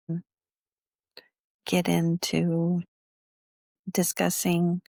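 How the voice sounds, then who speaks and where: A woman teaching guided meditation in a measured, instructional way, leaving long pauses between phrases. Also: on the low side, gravelly, a little mellow, breathy edge, dry recording.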